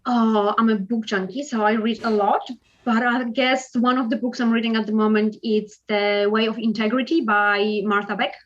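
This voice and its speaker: A woman's voice: on the high side, fairly even intonation, moderate pace, dull audio. A woman with a Polish accent, a wellness coach answering interview questions hesitantly, with many fillers. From Poland.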